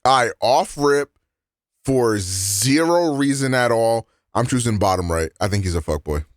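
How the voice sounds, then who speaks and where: A man's voice: medium pitch, lively intonation, normal speed, no room sound, clean studio sound, faint music behind. A man reacting live on stream, blunt and profane, calling out guesses as he watches.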